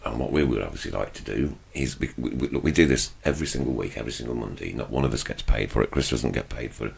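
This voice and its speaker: A man hosting a casual football chat show from a small home studio, chatty and quick to laugh as he reads out listener questions. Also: very deep voice, creaky, slightly rough. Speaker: podcast host.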